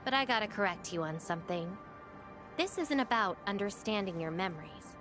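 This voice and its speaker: An enigmatic young female game companion, played by an actress, speaking at a middling pitch over clear background music. She is self-assured and aloof, teasing in grand formal phrases that slip into flippant slang. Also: animated, fairly quick, lightly acted. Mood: teasing, guiding. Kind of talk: video game voice acting. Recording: music under the voice.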